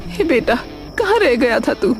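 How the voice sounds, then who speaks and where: A woman voicing an anxious, devoted elderly village mother in an animated story, hesitant and pleading. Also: sits high, unhurried, pitched above the performer's own voice, full character voice. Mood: worried, pleading. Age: older. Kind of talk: Hindi animated story dialogue. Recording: music under the voice.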